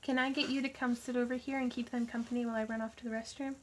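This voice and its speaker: Woman, livestream host, chatty, giggly, slightly high pitch. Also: level tone, rapid-fire, a little hiss or hum. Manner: giggly, laughs often, chatty asides. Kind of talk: casual livestream chat.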